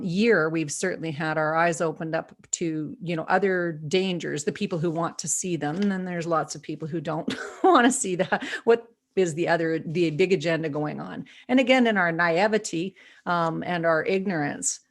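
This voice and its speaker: A woman's voice: sits low, steady tone, normal speed, creaky. A woman from Canada hosting an interview, effusive and chatty. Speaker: interview host. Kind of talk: conversational interview.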